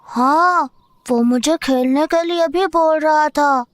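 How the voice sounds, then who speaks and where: A woman's voice: high voice, takes it slowly, dry recording. A woman voicing an earnest, insistent young boy with a childlike way of talking in an audio horror drama.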